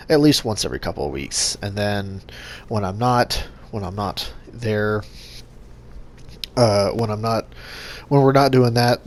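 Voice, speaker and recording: A young man hosting a gaming channel, talking casually to his subscribers in a monotone with lots of fillers and restarts. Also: fairly low pitch, a little hiss or hum. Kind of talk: casual vlog update to subscribers.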